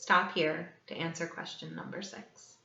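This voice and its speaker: A woman reading a story aloud as a teacher, with theatrical, emphatic delivery and dramatic pauses. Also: deep voice, flat delivery, creaky, sharp tone, recorded from a distance. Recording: echoey room, slightly dull sound.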